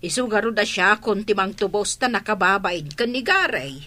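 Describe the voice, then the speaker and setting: A woman's voice: mid-range voice, lively intonation, moderate pace, pushed and bright. A woman with an Ilocano accent playing a worried, exasperated middle-aged mother of a grown son in a radio drama.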